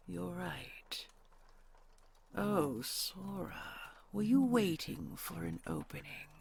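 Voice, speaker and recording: Slow, gravelly, menacing she-dragon. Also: sits low, slight background noise, exaggerated voice. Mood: dryly amused. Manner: imperious, slow, theatrical. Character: commanding, menacing ancient she-dragon, imperious and dryly teasing. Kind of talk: fantasy audio roleplay acting.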